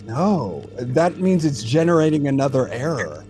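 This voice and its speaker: A man's voice: mid-range voice, very animated, moderate pace, a little mellow, natural voice. Guy acting a veteran alien data center tech, patient n thoughtful, mid-range voice, audio drama, bit of music behind. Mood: matter-of-fact, explaining.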